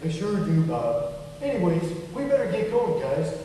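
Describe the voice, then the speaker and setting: A man playing a kindly, patient male puppet in a children's church show, explaining things gently and earnestly. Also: high-pitched, very animated, moderate pace. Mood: earnest, encouraging. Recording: echoey hall from far off, faint noise.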